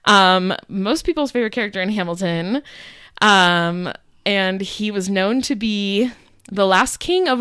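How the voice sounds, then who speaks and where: A young adult woman co-hosting a podcast in casual chat. She talks loudly, laughs often and fills her talk with "like" and "um". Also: medium pitch, clean tone, no echo, studio quality. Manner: loud, laughs often, chatty with fillers. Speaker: podcast co-host. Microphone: podcast microphone.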